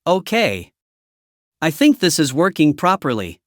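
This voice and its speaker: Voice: mid-range voice, big pitch swings, a little slow, no echo, studio quality. A presenter improvising dramatic mock breaking-news commentary for a fictional emergency scenario.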